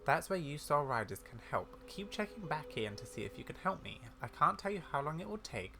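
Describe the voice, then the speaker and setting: A man's voice: a little high, very animated, brisk, gravelly. A man voicing a kindly, bookish old granny scholar from a video game, speaking in a theatrical, wordy storyteller's way. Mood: measured, regretful.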